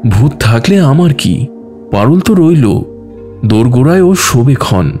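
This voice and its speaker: Man putting on a snappish, frightened aunt, animated. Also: unhurried. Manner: shrill, snappish. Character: timid, testy city-bred aunt, grumbling and easily frightened. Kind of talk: dramatised audio story dialogue. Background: background music.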